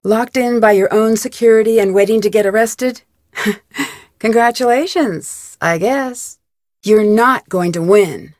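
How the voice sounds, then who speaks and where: A woman's voice: on the high side, measured pace, a little mellow, dry recording, studio quality, lightly acted. An actress voicing a determined, inquisitive young woman detective with an American accent in a video game, earnest and questioning. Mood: wry. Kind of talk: video game voice acting, isolated dialogue lines.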